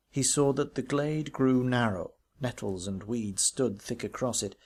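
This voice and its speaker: A man reading a ghost story aloud as literary narration, in a measured, expressive way with varied emphasis. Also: medium pitch, vocal fry. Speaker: audiobook reader.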